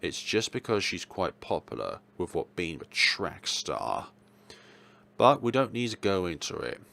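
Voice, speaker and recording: A young man reading a visual novel aloud as a let's player, in a theatrical, expressive way. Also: low-pitched, measured pace, vocal fry. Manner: theatrical, expressive, with playful asides. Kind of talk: dramatic read-aloud narration of a visual novel. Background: game music.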